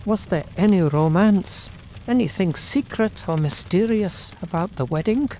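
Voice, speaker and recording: A performer acting a police inspector in a period mystery drama. The voice is mellow and soft-edged, probing yet courteous and formal, with some noise behind it. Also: mid-range voice, animated, unhurried, natural voice, rough recording. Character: polite, probing male police inspector questioning a witness.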